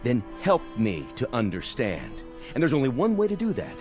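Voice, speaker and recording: An actor playing a mocking, domineering military major in a radio drama, with a sarcastic, slangy, order-barking delivery. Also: male voice, medium pitch, wildly swooping pitch, moderate pace, darkish tone, soft background music. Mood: brisk, commanding.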